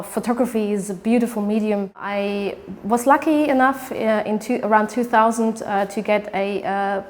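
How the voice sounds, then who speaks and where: German woman, halting speech. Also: mid-range voice. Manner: hesitant, many fillers and restarts. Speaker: photographer. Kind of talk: artist interview monologue.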